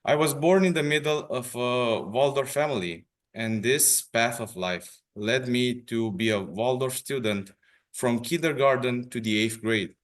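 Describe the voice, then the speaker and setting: A man's voice: mid-range voice, a little slow. A young man from Romania with a Romanian accent, reading a prepared introduction as a webinar host, careful and a little tense.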